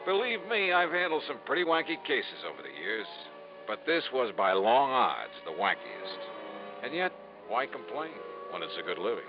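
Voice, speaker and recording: A man's voice: medium pitch, sing-song, normal speed, music in the background, lightly acted. An actor playing a wry, world-weary male insurance investigator in punchy 1950s detective radio style. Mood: wry narration. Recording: muffled, noisy vintage radio recording.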